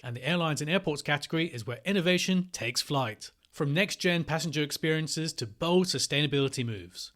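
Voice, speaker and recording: A man's voice: a little high, fairly bright tone. A man hosting a podcast, reading scripted narration and introductions. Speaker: podcast host.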